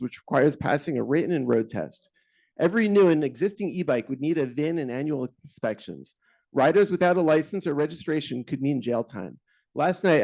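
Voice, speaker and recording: A man's voice: mid-range voice, creaky, a little mellow, poor audio. A man giving a prepared public comment at a community board meeting, arguing his point in a pointed way. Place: meeting hall.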